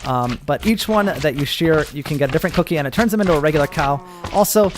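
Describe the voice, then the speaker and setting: A man's voice: on the high side, expressive, fast, slight background noise. A man giving casual gameplay commentary as a host, explaining things step by step and talking directly to his viewers. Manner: explanatory, addressing viewers as guys. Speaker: host of a Minecraft mod showcase.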